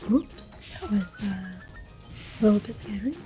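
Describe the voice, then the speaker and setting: A woman whispers softly and breathily through a video game as an ASMR host. Her voice is dark and mellow, gentle and unhurried, with faint music behind it. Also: medium pitch, animated.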